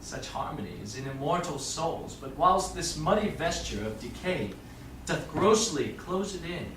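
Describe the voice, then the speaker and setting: A romantic young Shakespearean lover, acted by a man, poetic and fairly high for a male voice. The delivery swings widely in pitch, far off in a very echoey theatre. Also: lively intonation, normal speed, a bit bright. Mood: tender, lyrical. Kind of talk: staged Shakespeare reading. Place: small theatre stage, far audience camera. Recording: very echoey stage, distant camera, faint noise.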